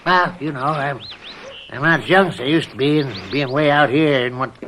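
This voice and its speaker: An actor playing a jovial but bossy and miserly old farmer uncle of 69 in a radio mystery drama, folksy and rambling. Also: male voice, mid-range voice, normal speed, bright, forceful tone, slight background noise, close to an everyday voice. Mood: folksy, welcoming. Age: older. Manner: folksy, rambling, chuckling hard bargainer.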